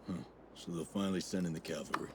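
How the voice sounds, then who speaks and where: A low, tough soldier's voice acted by a man, blunt and dogged, with no patience for nonsense. It is game-cutscene acting with clear hiss and rain behind it. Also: fairly even intonation, quick pace, close to an everyday voice. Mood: wry, matter-of-fact. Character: tough, blunt male special forces soldier, dogged and vengeful.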